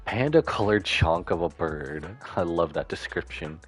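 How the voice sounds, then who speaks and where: Man, game streamer, animated casual commentary in a fairly low voice, light background music. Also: measured pace. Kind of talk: live reaction commentary and reading game text aloud. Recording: faint game music behind.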